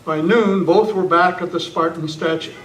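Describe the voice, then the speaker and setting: A man's voice: high voice, moderate pace, a bit bright. A man reading scripted narration for an old-time radio western. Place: club meeting room, live presentation. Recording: echoey, noisy room, distant mic.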